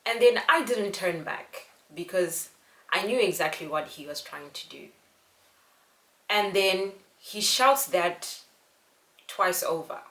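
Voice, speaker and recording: A young woman from Zimbabwe, telling a personal story to camera in an earnest, unhurried way. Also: fairly low pitch, a bit bright. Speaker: vlogger. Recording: echoey room.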